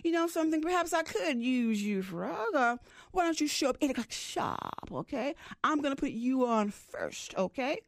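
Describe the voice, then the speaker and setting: A woman doing a sly, gruff Brother Fox. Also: sits high, sing-song, normal speed, dry recording, clean studio sound, full character voice. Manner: gruff, drawling, then brash emcee patter. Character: fox showman, male, sly and bossy.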